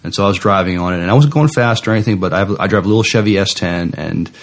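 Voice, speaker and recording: A man co-hosting a podcast, chatting casually in a mild, halting way. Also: deep voice. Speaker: podcast co-host. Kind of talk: casual chat.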